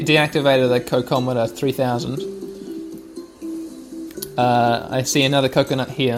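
A man hosting a podcast, giving chatty, wry running commentary as he plays a video game for listeners. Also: a little high. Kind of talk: narrating gameplay to listeners.

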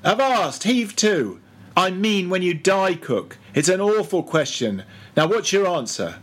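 A man's voice: high voice, very animated, unhurried, slightly rough, pitched above the performer's own voice, heavily acted. A man voicing a bossy, mocking ship's mate who badgers and gives orders in a storybook reading. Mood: mock-solemn, bossy. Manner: commanding, interrogating, mock-solemn.

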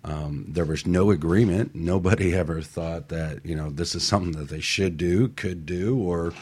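A low-pitched man speaks as a co-host on motivational talk radio. He makes his points emphatically, in firm declarative statements, and repeats the key ones. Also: normal speed, a little mellow, breathy edge.

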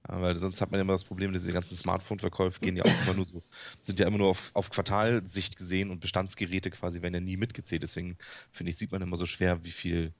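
Deep quick guy chatting tech on a podcast over a call, gravelly. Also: little pitch movement. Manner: quick, conversational, explaining. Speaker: podcast co-host. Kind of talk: casual tech podcast chat. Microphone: headset over a Skype call.

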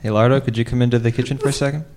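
A man playing an earnest foodie father in improvised radio theater, breaking news in a halting, awkward way. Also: medium pitch, fairly even intonation, a little mellow, pitched above the performer's own voice, natural voice. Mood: awkward, hesitant.

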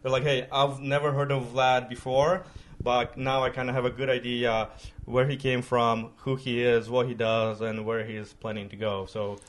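Flat-toned casual podcast host man. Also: medium pitch, moderate pace, few pauses. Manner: rambling and informal, with many fillers and restarts. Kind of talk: casual conversational interview.

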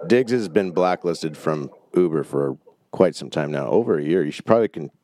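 A man hosting a podcast, relaxed and laughing as he chats with callers. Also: rumbling low, normal speed, no room sound, clean studio sound. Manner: easygoing, laughing banter. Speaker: podcast host.

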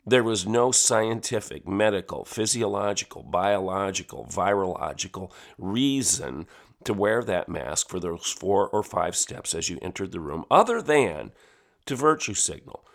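A man hosting his own talk show, hoarse and growly from a head and chest cold, delivering an emphatic opinion monologue. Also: low voice, expressive, measured pace. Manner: emphatic, piling up lists of words. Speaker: podcast and radio host.

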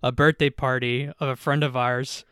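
Young man, smooth mid voice, dry close sound, soft-spoken. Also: mid-range voice, level tone, normal speed, no echo. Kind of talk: casual chat.

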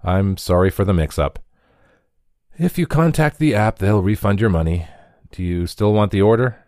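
A man's voice: fairly low pitch, animated, normal speed, a little mellow, no room sound, clean studio sound, pitched above the performer's own voice. A man voicing a weary, anxious delivery driver who is polite and eager to please. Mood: nervous.

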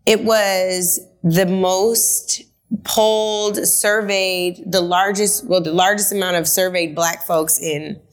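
A woman's voice: lowish voice, steady tone, slow pace. Enthusiastic woman host in her forties. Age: 40s. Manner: enthusiastic, playful, affirming. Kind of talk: conversational interview.

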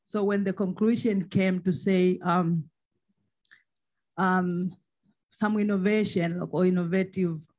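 Woman, Tanzanian accent, slightly low pitch, seminar participant, hesitant phrasing. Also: steady tone, takes it slowly, pauses often, darkish tone, poor audio. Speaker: social protection expert, audience member. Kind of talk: spoken question and comment at a seminar.

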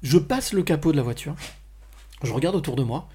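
A man's voice: a little high, expressive, a little fast, dark tone, slightly rough. A man hosting a conversational podcast interview, often repeating and rephrasing as he goes. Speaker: podcast host.

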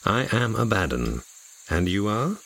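A man playing a brooding, commanding fallen angel in a fantasy audio drama, speaking with measured, wry politeness. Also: very animated, fairly bright tone, full character voice. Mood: wry, courteous. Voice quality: deep and husky.

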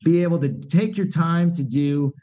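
A man's voice: a little high, normal speed, warm tone. A middle-aged man, a punk band singer talking as a podcast guest, rambling candidly with many fillers and restarts. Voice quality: nasal voice. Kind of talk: casual podcast conversation. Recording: dull lo-fi podcast recording.

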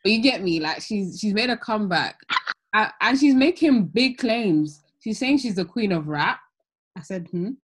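A woman's voice: mid-range voice, normal speed, slightly rough. A young woman, chatting animatedly with friends on a podcast and leaning heavily on "like". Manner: animated, heavy use of "like". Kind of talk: casual chat.

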